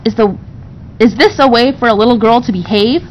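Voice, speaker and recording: A woman's voice: brisk, lightly acted. A woman reading a storybook, voicing a female servant who reproaches and advises a child. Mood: reproachful. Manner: admonishing. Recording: dull recording with low rumbling noise.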